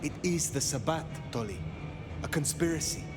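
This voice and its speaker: A man voicing a solemn elder vampire in a video game, formal, measured and grave. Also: fairly high pitch, lively intonation, big-room sound, music in the background, lightly acted. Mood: grave, dry. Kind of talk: video game character acting.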